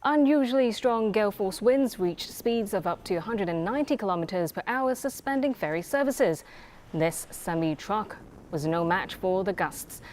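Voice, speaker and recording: A woman's voice: mid-range voice, expressive, moderate pace, darkish tone, a little hiss or hum. A woman anchor reading scripted television news.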